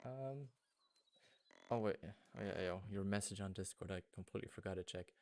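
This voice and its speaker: A man's voice: sits low, moderate pace, darkish tone. A man whose first language is German, chatting casually with viewers while streaming a hunting game and thinking aloud. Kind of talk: casual gaming livestream chat.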